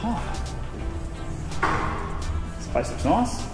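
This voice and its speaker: A young man vlogging on an action camera held at arm's length, excitable and quick to exclaim. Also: over loud music. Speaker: travel vlogger. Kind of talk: casual handheld vlog. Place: outdoors and in a back-alley restaurant.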